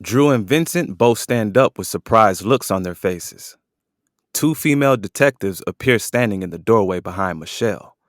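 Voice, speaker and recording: A man's voice: lowish voice, lively intonation, moderate pace, no echo, studio quality. A man giving scripted, descriptive narration as the narrator of an audio drama.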